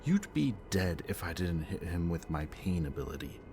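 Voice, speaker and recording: A man acting a brooding villain kidnapper in an audio roleplay, mockingly polite and weary, speaking in a deliberate, theatrical way. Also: low voice, very animated, noisy background. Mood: tense, weary.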